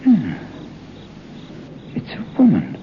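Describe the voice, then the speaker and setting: An actor playing a restless, romantic writer who muses haltingly aloud in an old-time radio drama. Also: male voice, sits high, wildly swooping pitch, heavily acted. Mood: brooding musing. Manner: musing aloud, halting, talking to himself. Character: restless English writer on holiday, romantic, brooding. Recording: muffled noisy old radio broadcast.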